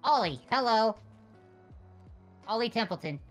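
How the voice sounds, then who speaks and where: A woman's voice: on the high side, animated, measured pace, soft background music. A woman doing casual improvised game roleplay in an earnest, rambling way with many restarts. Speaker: online roleplay player.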